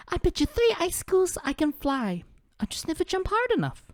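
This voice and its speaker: A man's voice: extremely high pitch, fairly bright tone, no echo, clean studio sound, pitched above the performer's own voice, full character voice. A man voicing a bubbly, naive young female game creature, childlike and eager. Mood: playful.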